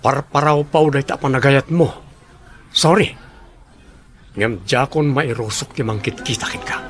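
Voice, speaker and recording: A man's voice: medium pitch, measured pace, close to an everyday voice. A man playing a troubled middle-aged man. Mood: firm. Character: middle-aged man Octavio, troubled, torn over old love. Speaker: radio drama actor. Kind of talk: radio drama acting.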